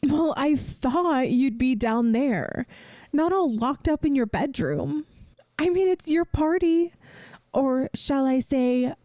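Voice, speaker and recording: Woman playing caring young female best friend, high-pitched, soft-spoken ASMR roleplay, earnest, hesitant, reassuring, unhurried, close dry sound. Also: no echo. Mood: concerned, teasing.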